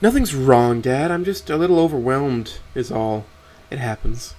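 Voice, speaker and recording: A man's voice: medium pitch, lively intonation, moderate pace, a little mellow, lightly acted. A man voicing a teenage artist daughter who is casual, hesitant and nervously evasive, in an amateur story narration. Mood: reassuring, evasive.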